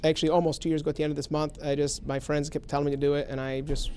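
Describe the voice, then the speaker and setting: A man's voice: fairly high pitch, flat delivery, darkish tone. A man in his late thirties, a comedian, talking casually in an interview with self-mocking jokes. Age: 30s. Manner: self-mocking, quick joking asides.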